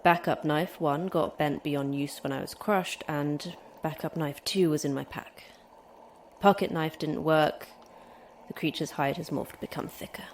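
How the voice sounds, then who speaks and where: A low, level-pitched defiant fighter. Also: female voice, steady tone, a little slow, a little hiss or hum. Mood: dry, strained. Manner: terse, dry, defiant. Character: injured, concussed, stubborn female fighter trapped by a monster. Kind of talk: audio drama acting.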